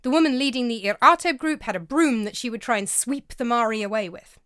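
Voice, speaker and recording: A woman's voice: on the high side, a little fast, a bit bright, smooth, dry recording. A woman narrating her vlog in a scholarly, lightly amused tone, reading a prepared script. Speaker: vlogger and narrator. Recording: dry close-mic voice-over.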